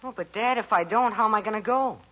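A man's voice: high voice, quick pace, natural voice. A man playing an earnest, self-pitying fifteen-year-old son who stammers, in a 1940s radio sitcom. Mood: aggrieved. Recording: dull, noisy vintage radio recording.

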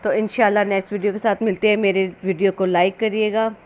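A woman's voice: medium pitch, little pitch movement, quick pace. A woman narrating a recipe casually as a home cooking host, instructional and often repeating herself. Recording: noisy amateur home recording.

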